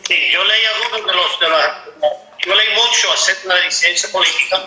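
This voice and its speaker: A man hosting an interview asks his questions haltingly, often repeating himself as he goes. His voice is high for a man and very bright. The recording sounds roomy and echoey. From United States. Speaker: interviewer, host.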